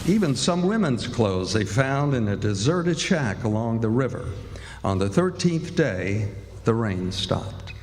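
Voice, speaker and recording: A man's voice: medium pitch, very animated, a little slow, darkish tone, a little hiss or hum. A man playing the storytelling narrator of a river adventure, in scripted radio drama narration. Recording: echoey hall, music behind.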